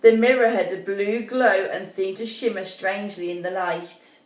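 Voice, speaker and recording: A woman reading a children's story aloud expressively and deliberately, as a school storyteller. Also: mid-range voice, steady tone. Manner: deliberate, prompts young listeners with questions. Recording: echoey room, distant microphone.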